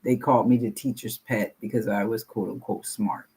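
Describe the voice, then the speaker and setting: Deep-voiced older woman, husky. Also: fairly even intonation, moderate pace, dark tone. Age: 60s. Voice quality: deep, low voice. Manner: rambling, frank, casual swearing. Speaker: meeting participant sharing. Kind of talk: candid personal share.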